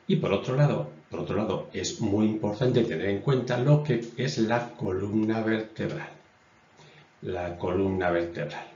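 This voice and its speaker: Man with a low voice lecturing in an echoey room. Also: a little mellow. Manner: measured, repeats key phrases, frequent "eh" fillers. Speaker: naturopath and doctor giving a talk. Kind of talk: explanatory lecture while drawing on a diagram. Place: indoors in front of a stone wall. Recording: roomy echo, dull lo-fi sound.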